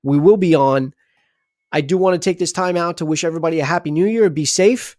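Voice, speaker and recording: A chatty man hosts a sports livestream and rambles through his sign-off. His voice sits a little high for a man. The sound is dry and close. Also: brisk, darkish tone, no room sound. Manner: rambling, chatty, many restarts. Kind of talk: casual sports livestream talk.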